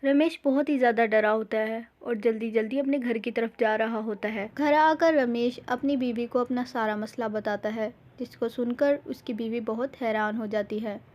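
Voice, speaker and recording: A woman's voice: on the high side, fairly even intonation, moderate pace, hardly any pauses, a little mellow. A woman storyteller reading scripted narration for a cartoon village tale. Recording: slightly dull, lo-fi sound.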